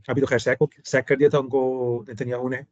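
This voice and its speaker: A slightly hoarse man hosts a relaxed discussion at a middling pitch, choosing his words in a deliberate, formal way. The sound is dull and lo-fi, and dry with no room echo. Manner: measured, formal phrasing. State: hoarse throat. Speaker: host. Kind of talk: casual discussion.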